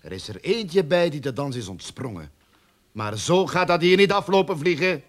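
An actor playing a suspicious, threatening crook who feels cheated, in a radio drama. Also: male voice, fairly high pitch, sing-song, moderate pace.

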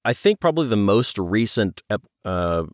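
A man with a low voice and a close, dry sound talks as a guest on a podcast. He is reflective and careful, pausing to find the right words as he casually thinks things through. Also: very animated, no room sound. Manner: hesitant, thoughtful, careful with words.

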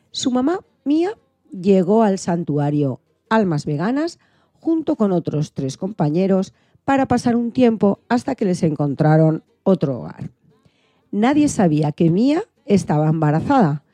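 A woman's voice: lowish voice, warm tone, no room sound. A woman radio presenter reading scripted storytelling narration.

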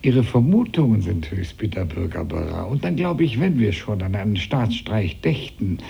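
Guarded statesman, radio play, mellow dark timbre, gravelly fry, sardonic, formal, measured, revolutionary era. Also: lowish voice, big pitch swings, lo-fi sound, heavily acted. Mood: dry, guarded. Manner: measured, formal, dry irony. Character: Sieyès, wary, sardonic French revolutionary statesman, middle-aged. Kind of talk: German radio play acting, stage-like dialogue.

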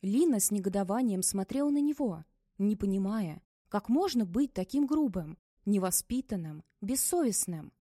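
A woman reads a story aloud in a steady, measured narrator's voice. Her tone is soft and warm, and the recording is dry and close. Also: medium pitch, dry recording. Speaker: audiobook narrator. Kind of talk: scripted audiobook narration.

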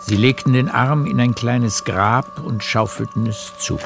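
A man narrating a radio play as a literary storyteller, with measured, deliberate phrasing. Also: mid-range voice, vocal fry, music in the background.

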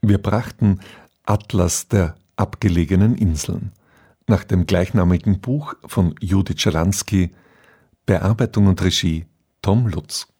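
Very deep, mellow male radio announcer. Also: measured pace, husky. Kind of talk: scripted programme announcement. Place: radio studio.

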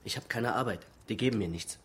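An actor playing a desperate, jobless man in a radio drama, with a husky, raspy voice. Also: medium pitch, quick pace, a bit bright, slight background noise, lightly acted. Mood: urgent, pleading. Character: desperate, jobless father pleading for help.

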